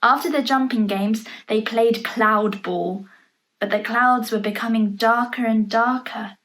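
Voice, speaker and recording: A woman reading a picture book aloud for young children, playfully expressive, adding chatty asides and questions about the pictures, in a noisy home recording. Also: a little high, a little slow. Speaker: storybook reader for young children. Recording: noticeable background noise, home online recording.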